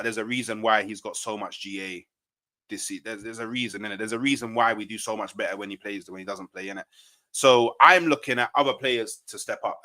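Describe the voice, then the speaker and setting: A man's voice: medium pitch, pushed and bright, poor audio. A man in casual, slangy football banter as a fan panellist, often tagging his points with "innit". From England.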